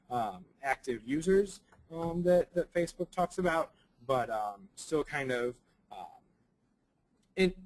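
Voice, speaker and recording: A young man with a slightly raspy voice from a cold gives a conference presentation, halting often with ums and restarts. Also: mid-range voice, poor audio.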